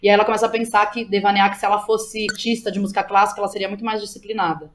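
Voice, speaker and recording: A woman's voice: mid-range voice, steady tone, very fast, a little hiss or hum. Informal Brazilian woman thinking aloud. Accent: Brazilian Portuguese. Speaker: guest, playwright. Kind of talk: casual podcast interview about own work.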